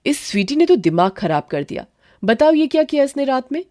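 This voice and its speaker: A woman's voice: high voice, big pitch swings, fairly quick, dark tone, voice pushed up. Woman acting an anxious, exasperated young mother. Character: anxious, exasperated mother and wife in a haunted house. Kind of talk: Hindi horror cartoon story acting. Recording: music behind.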